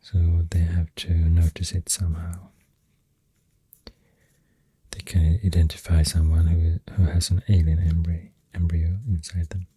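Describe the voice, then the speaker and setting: Man, Swedish accent, soft-spoken ASMR host, dark mellow tone, very dry close-miked sound, hushed halting delivery. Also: low voice, monotone, no echo. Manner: hushed, breathy, half-whispered. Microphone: close ASMR microphone.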